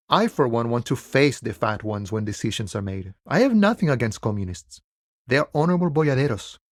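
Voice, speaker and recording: A man's voice: medium pitch, no echo, clean studio sound, lightly acted. A male actor playing a laid-back, philosophical harbor worker on strike in a video game, speaking in an easygoing, wry, musing way. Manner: easygoing, wry, musing, unhurried folksy phrasing. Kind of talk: video game dialogue acting.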